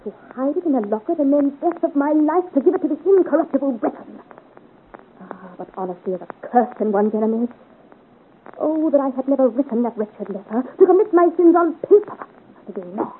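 High-voiced, haughty scheming noblewoman. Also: lively intonation, brisk, slight background noise. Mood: anguished brooding. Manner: imperious, commanding, theatrical. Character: haughty, scheming noblewoman villain of seventeenth-century France. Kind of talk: 1950s radio serial acting. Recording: muffled vintage radio serial recording.

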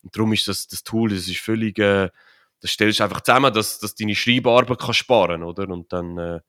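A man's voice: fairly low pitch, brisk, fairly bright tone. A man with a Swiss German accent, a podcast guest explaining things in a rambling, casual way with many fillers and tag questions. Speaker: podcast guest, author and crypto consultant. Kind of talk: casual conversational interview.

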